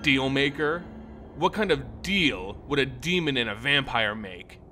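A man's voice: mid-range voice, animated, a little slow, pushed and bright, soft background music. A man playing a proud, shrewd holy warrior in a fantasy story, stern and challenging.

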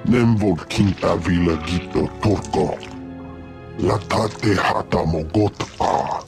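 Deep gravelly alien captive. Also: male voice, slow, music in the background, full character voice. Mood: pleading. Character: alien male scientist speaking an alien tongue. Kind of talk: video game cutscene.

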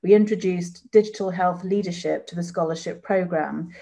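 A woman's voice: on the low side, moderate pace, creaky, mellow. A woman in her forties chairing a conference panel, reading formal introductions over a video call.

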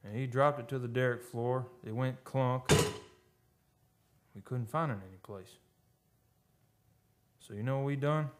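An actor playing a folksy, uneasy oil-rig worker telling an eerie tale in old-time radio drama style, halting and ungrammatical. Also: male voice, medium pitch, slight background noise, natural voice. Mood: haunted, hushed. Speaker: actor narrating a radio play. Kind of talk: old-time radio drama acting, first-person storytelling. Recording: live radio studio with music behind.

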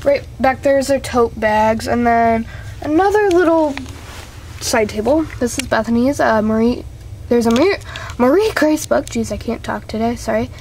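High-voiced young woman, casual and giggly. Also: moderate pace, darkish tone. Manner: giggly, self-deprecating, apologetic. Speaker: vlogger giving a home tour. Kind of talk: casual rambling show-and-tell narration. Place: small carpeted room at home. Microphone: handheld camera's built-in mic. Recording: noisy handheld amateur camera audio.

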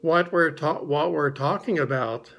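Gloomy storybook donkey, man reading, slow sorrowful drawl, slightly high voice. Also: creaky, fairly bright tone, exaggerated voice. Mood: mournful.

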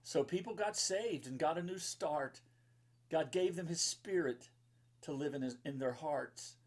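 A man's voice: fairly high pitch, a little slow. A man in his fifties, a pastor reading and talking to children in simple, explanatory phrases as in a Bible story time. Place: book-lined room indoors.

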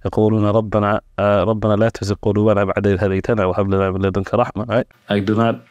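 Low-voiced, quick-talking young man. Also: no room sound, highs cut off. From Ethiopia, raised in Seattle. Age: young adult. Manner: calm, measured, reciting Arabic phrases fluently. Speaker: imam, interview guest. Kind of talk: casual interview answers. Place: studio room with plants and shelves. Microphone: lapel mic.

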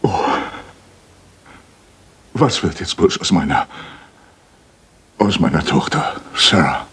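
An actor playing a remorseful, lovelorn middle-aged father in a radio play, speaking haltingly and earnestly. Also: low-pitched, sing-song, fairly bright tone, husky, exaggerated voice. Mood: anguished.

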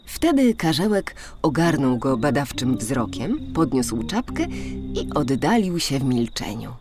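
A woman with a low voice tells a children's fairy tale. Her delivery is dramatic, with pitch that moves widely from phrase to phrase. Soft music plays behind her. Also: a little slow. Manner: animated, dramatic storytelling delivery. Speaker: storyteller. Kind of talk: expressive scripted children's fairy-tale narration. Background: background music.

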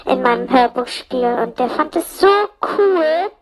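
A woman's voice: high voice, lively intonation, a little slow, music in the background. A woman rambling through a casual comic monologue as a storyteller, slangy and sweary, joking and mimicking people as she goes. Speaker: audio-play storyteller in a puppet's persona.

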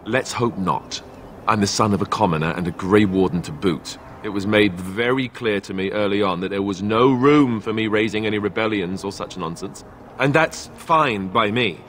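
A man's voice: lowish voice, normal speed, fairly bright tone, slight background noise, natural voice. A man acting a young, self-deprecating, wounded royal warrior in a fantasy video game, speaking in a wry, confessional way. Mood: earnest.

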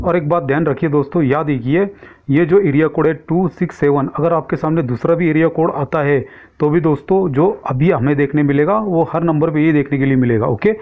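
A man with a fairly high voice talks viewers through a screen-recorded tutorial. He explains step by step in a casual, direct way. The sound is narrow and lo-fi, like a phone line. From India. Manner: explanatory, repeatedly addressing viewers as friends. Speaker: tech tutorial host.

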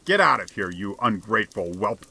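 A man voicing a prosperous, bullying householder in an audiobook, gruff, humorless and resentful. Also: fairly low pitch, big pitch swings, moderate pace, bright, forceful tone, heavily acted. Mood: outraged. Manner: blustering, snapping, humorless. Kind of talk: dramatized audiobook character.

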